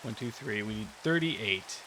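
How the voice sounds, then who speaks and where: A man's voice: fairly low pitch, lively intonation, a little slow, noisy background. Rambling man narrating gameplay. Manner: rambling, thinks aloud with many restarts. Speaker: gaming video creator. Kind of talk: casual gameplay commentary. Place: cold room with a heater.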